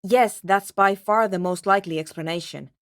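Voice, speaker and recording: An actress playing a cool, scientifically curious young woman programmer in a video game, speaking in a measured, technical, dryly formal way. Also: medium pitch, no room sound, clean studio sound, lightly acted. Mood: intrigued, analytical. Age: young adult. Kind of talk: video game character voice acting.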